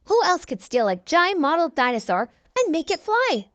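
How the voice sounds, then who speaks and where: Woman voicing a high, gloating boy storybook villain. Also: sing-song, normal speed, a bit bright, dry recording, dull audio, heavily acted. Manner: gloating, theatrical. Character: gleeful boastful boy villain who steals with robots.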